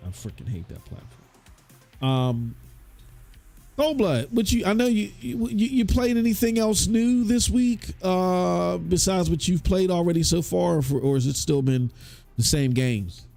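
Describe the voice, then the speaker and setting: A man's voice: high-pitched, lively intonation, a little slow, gravelly, dark tone, soft background music. Stuffy-voiced man hosting a podcast. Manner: loose and chatty, many restarts and fillers. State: stuffy from a cold. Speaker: podcast host. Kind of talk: casual gaming podcast chat. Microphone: hot studio microphone.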